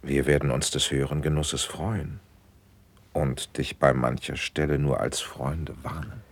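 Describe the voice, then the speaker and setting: A dignified princely patron in classical radio verse. The voice is extremely deep and husky, and the lines come slowly and measured. He sounds paternal and stately. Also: level tone, no echo, exaggerated voice. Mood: gracious, advising.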